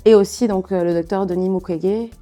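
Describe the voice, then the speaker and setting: A woman from Niger speaking as a journalist in a conversational interview, telling personal stories with halting self-corrections. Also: medium pitch, steady tone, dark tone, soft background music.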